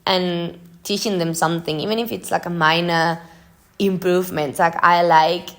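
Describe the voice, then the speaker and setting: A woman's voice: lowish voice, fairly even intonation, normal speed, a bit bright. A young woman, a native Spanish speaker, hosting an informal solo podcast in a chatty way, moving between notes and ad-libs. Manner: chatty, informal, frequent use of "like". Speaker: podcast host.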